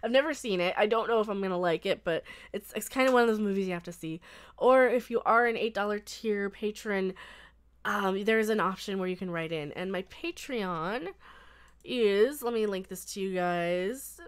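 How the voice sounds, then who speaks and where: A woman's voice: mid-range voice, moderate pace, smooth. Stuffy-nosed woman chatting on a live stream. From Colorado. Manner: rambling, chatty, laughs often. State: stuffy, congested from a cold. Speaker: livestream host. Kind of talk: casual live-stream chat with viewers.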